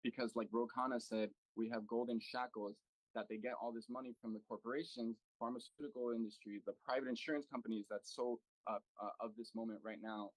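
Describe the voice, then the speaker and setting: A man's voice: medium pitch, fairly even intonation, a little fast, no room sound, rough recording. A man from the United States speaking at an online political meeting as a local party chapter president, earnest and full of fillers and restarts. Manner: earnest, thinking aloud with many fillers and restarts. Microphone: video call.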